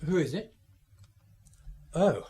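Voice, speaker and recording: An actor playing an uneasy, evasive engraver in a radio drama, hesitant and guarded. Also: male voice, a little high, lively intonation, slow pace, mellow, slightly rough, exaggerated voice. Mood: wary.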